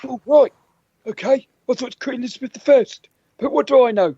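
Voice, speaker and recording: Husky, high-pitched male quizmaster. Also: a little fast, warm tone, dry recording, lo-fi sound. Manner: playful, stumbling quiz delivery. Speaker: podcast co-host and quizmaster. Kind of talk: comedy quiz chat.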